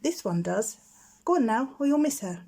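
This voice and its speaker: A woman voicing a brisk, firm mother barn owl in a children's storybook. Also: on the high side, very animated, creaky, warm tone, a little hiss or hum, voice pushed up.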